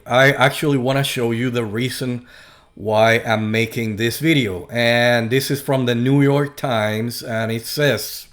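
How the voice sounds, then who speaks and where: Slow, halting Puerto Rican man. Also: medium pitch. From Puerto Rico. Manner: slow and halting, many fillers and restarts, sarcastic asides. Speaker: video host. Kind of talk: casual monologue to camera.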